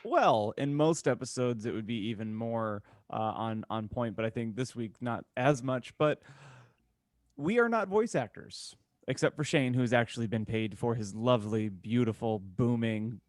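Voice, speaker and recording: Rambling male co-host, medium pitch, dry sound. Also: normal speed, no room sound. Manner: rambling, long-winded explanations. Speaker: podcast co-host. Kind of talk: casual chat.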